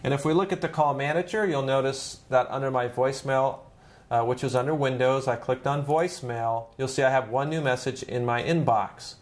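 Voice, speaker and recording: A man narrating an informal step-by-step software tutorial in a conversational, demonstrative way, as a trainer would. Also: medium pitch, brisk.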